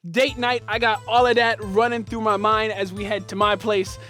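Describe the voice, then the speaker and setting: A man's voice: sits high, flat delivery, normal speed, pushed and bright, faint music behind. A young man telling a comedic personal story in a playful, slangy, punchy way, as host of his channel.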